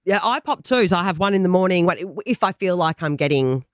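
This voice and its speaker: A woman in her thirties with an Australian accent, co-hosting a breakfast radio show and telling stories in casual, lively chat. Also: lowish voice, dry recording, clean studio sound. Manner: lively storyteller, quick laughs. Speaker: radio co-host. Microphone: studio mic.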